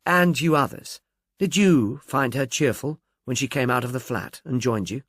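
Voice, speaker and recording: A man's voice: mid-range voice, normal speed, fairly bright tone, no room sound, clean studio sound, lightly acted. Man playing a polite, probing detective. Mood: inquisitive. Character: polite, probing male police investigator.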